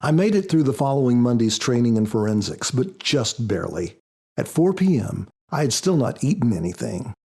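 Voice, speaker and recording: A man reading scripted first-person audiobook narration in a measured storytelling manner. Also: mid-range voice, big pitch swings, vocal fry, warm tone, husky. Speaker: author narrating his audiobook.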